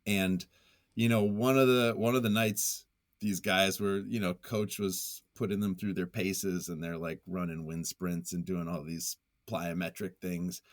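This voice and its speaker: A man's voice: deep voice, steady tone, measured pace, no room sound, clean studio sound. A man speaking as a writer being interviewed, casual and conversational, with a goofy, self-deprecating manner. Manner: self-deprecating, goofy, chuckles often while talking. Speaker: interview guest, writer and journalist.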